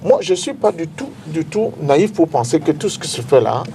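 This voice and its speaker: A man's voice: fairly high pitch, sing-song, moderate pace, mellow, a little hiss or hum. A Cameroonian-accented man debating politics as a panel guest, speaking emphatically and repeating phrases for effect.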